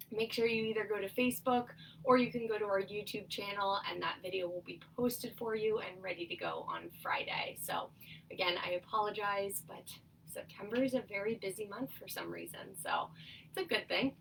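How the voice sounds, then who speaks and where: A young woman occupational therapist leading a seated exercise class, her voice nasal and stuffed up, giving upbeat cues and steady countdowns. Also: mid-range voice, gravelly, distant mic, slight background noise, rough recording. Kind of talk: instructional exercise cueing with countdowns.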